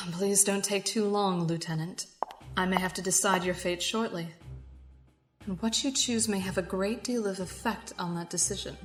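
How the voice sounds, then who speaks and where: A woman acting in an audio drama as a controlled, condescending security captain with a formal, measured manner and polite menace. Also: medium pitch, fairly even intonation, faint music behind, close to an everyday voice. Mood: coolly threatening.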